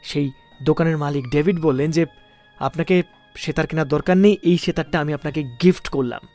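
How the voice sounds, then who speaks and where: A man with an Indian Bengali accent and a fairly high voice tells stories as a radio host. His delivery is theatrical, stretching pauses for suspense and leaning on key words. Music plays under his voice. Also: darkish tone. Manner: theatrical, with drawn-out suspenseful pauses and emphatic phrasing. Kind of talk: dramatic anecdotal storytelling for a music radio show.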